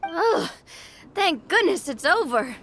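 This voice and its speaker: A woman voicing a theatrical, self-possessed young female adventurer in a fantasy video game, with expressive delivery. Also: very high-pitched, slow pace, slight background noise, heavily acted. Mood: relieved, amused. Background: soft game music.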